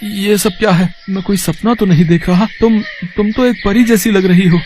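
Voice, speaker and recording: A man voicing a poor, kind-hearted village labourer and father in an animated moral story, earnest and plaintive. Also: sits high, normal speed, slight background noise, lightly acted. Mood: amazed.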